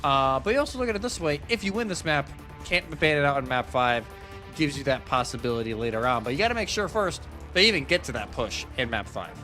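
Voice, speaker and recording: A man giving conversational, explanatory analysis as a live esports shoutcaster. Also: mid-range voice, expressive, quick pace. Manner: casual, explanatory. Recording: music behind the voice. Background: music.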